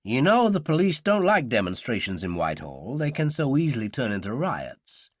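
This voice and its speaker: Audiobook role: man as smooth upper-class American male, sly and dry, lively intonation, mid pitch. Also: moderate pace, dry recording.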